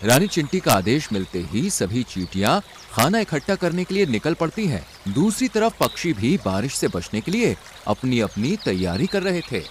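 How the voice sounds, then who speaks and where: A man's voice: mid-range voice, very animated, moderate pace, few pauses, a little hiss or hum. A man narrating a scripted cartoon story.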